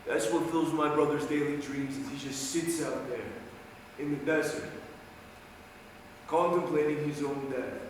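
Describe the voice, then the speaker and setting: An actor playing an anguished, foul-mouthed younger man in a dramatic stage monologue, speaking emphatically in street talk with dramatic pauses. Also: fairly high pitch, fairly even intonation, natural voice. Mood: somber, reflective. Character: anguished, foul-mouthed younger man grieving over his soldier brother. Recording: very echoey hall, distant mic, faint noise.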